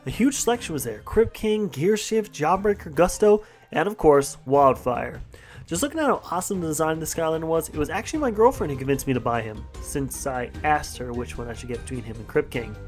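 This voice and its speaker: A man's voice: fairly high pitch, lively intonation, faint music behind. A young man narrating a countdown voice-over with enthusiasm over gameplay footage. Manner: enthusiastic, brisk reading. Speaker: gaming channel host.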